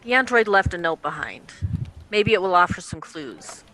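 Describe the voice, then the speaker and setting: Casting: woman as no-nonsense radio-drama police chief, sardonic and weary, with a sharp, bright tone. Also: lowish voice, sing-song, moderate pace, poor audio. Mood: stern, sardonic. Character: stern, weary female police boss. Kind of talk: radio play dialogue.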